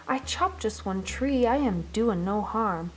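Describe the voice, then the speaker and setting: A woman's voice: medium pitch, animated, unhurried, breathy edge, rough recording. A woman voicing a greedy, boastful businessman in a storybook, with a brash, folksy, dismissive manner. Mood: boastful, reassuring. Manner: folksy, brash, self-justifying.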